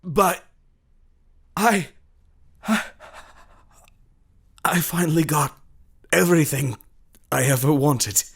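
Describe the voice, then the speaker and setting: A man playing a greedy, scheming father in a horror melodrama, with a theatrical, sardonic, deliberate delivery. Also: fairly high pitch, vocal fry, slightly rough, exaggerated voice. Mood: faltering, dying. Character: scheming, greedy, mocking villain father and husband. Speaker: actor in an audio drama. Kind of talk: melodramatic horror radio play acting.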